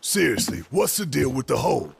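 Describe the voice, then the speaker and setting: Gruff, gravelly, hot-tempered fighter. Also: male voice, medium pitch, brisk, slight background noise. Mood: suspicious, agitated. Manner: blunt, excitable. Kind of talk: video game voice acting.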